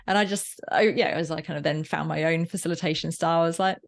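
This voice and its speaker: Lowish-voiced woman talking informally. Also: fairly even intonation, quick pace, gravelly. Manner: informal, discursive, often rephrasing mid-sentence. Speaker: podcast guest, workplace learning facilitator. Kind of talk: casual conversational interview.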